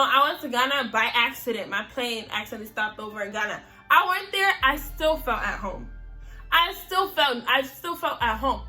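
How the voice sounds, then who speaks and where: A young American woman hosting a casual chat, animated and emphatic, often repeating her phrases. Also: fairly high pitch. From United States. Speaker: host.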